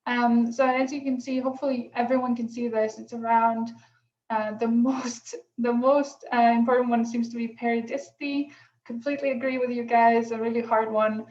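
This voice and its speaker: A woman from Greece moderating an online workshop over a video call, relaxed, with many pauses and fillers. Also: a little high. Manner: relaxed, many ums, thinking aloud. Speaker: workshop facilitator. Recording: echoey room, dull lo-fi sound.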